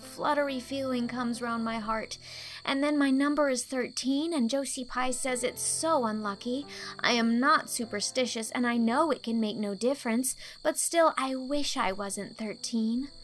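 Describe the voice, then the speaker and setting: A woman's voice: high-pitched, moderate pace, faint music behind, voice pushed up, natural voice, low-quality recording. A woman playing a dramatic, anxious, chatty teenage schoolgirl in a storybook reading, speaking earnestly and wordily.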